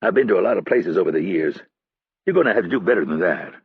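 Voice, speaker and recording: A man's voice: deep voice, animated, fast, dry recording, exaggerated voice. A man doing a suave French spy chief. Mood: cool. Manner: smooth and easy. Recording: dull, lo-fi dry audiobook recording.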